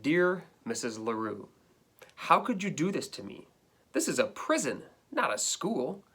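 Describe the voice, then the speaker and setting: A man's voice: a little high, big pitch swings, measured pace, bright, forceful tone, breathy edge, pitched above the performer's own voice, heavily acted. A man reading a storybook as an indignant, put-upon pet dog, complaining in a dramatic, emphatic way. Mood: aggrieved pleading. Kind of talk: storybook read-aloud.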